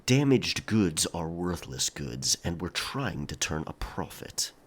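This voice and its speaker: An actor playing a stern pirate quartermaster in a historical romance audio drama, brusque, controlled and threatening, yet reasonable. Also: male voice, low-pitched, very animated, takes it slowly, husky, exaggerated voice. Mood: stern menace.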